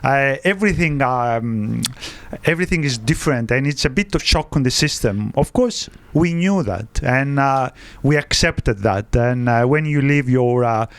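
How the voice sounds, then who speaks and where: Guest spot: man in his 50s, from Egypt, Greek-native, halting speech, smooth clear voice, dry studio. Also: medium pitch, lively intonation, no room sound. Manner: halting, frequent "uh" fillers, non-native grammar. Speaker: guest, wealth adviser. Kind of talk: podcast interview.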